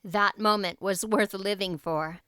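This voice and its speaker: A woman reading a novel aloud as an audiobook narrator, in expressive scripted storybook style. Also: mid-range voice, a little slow, clear, resonant tone, no room sound, clean studio sound.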